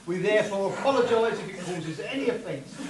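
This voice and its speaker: High-voiced man announcing in an echoey hall. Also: animated, fairly quick. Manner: bantering with hecklers and audience. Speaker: announcer of an amateur radio play. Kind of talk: comic live stage introduction. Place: live convention hall with audience. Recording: echoey hall from far off, loud crowd. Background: loud audience chatter and laughter.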